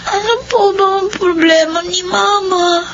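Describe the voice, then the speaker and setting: Casting: pleading young kid in radio drama, tiny high voice, slow delivery, dull recording. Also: female voice, steady tone, a bit bright, slight background noise, heavily acted. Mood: plaintive. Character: small plaintive child missing mother. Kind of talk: Filipino radio drama acting. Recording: dull lo-fi audio under music.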